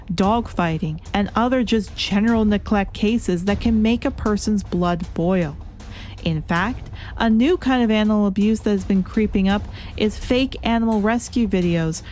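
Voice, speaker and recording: A woman's voice: mid-range voice, a little mellow. A woman hosting a review show, reading a scripted video essay with a dramatic, emphatic storytelling delivery. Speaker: host of a comic review show.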